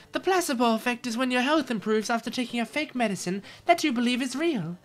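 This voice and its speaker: A woman's voice: a little high, animated, brisk, soft background music, pitched above the performer's own voice, natural voice. A woman voicing a refined young princess in a video game, speaking formally. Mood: explaining.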